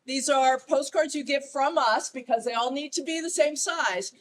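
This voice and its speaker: A woman's voice: high voice, normal speed, recorded from a distance, slight background noise. A woman, a visitors bureau director, speaking in a chatty, self-deprecating way outdoors at a courthouse ceremony. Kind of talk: public speech at outdoor ceremony.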